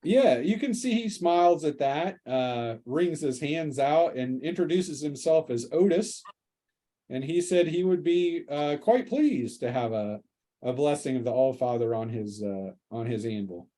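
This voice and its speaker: A man narrating a tabletop fantasy game as game master, improvising in a halting, hesitant way. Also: a little high, animated, dark tone.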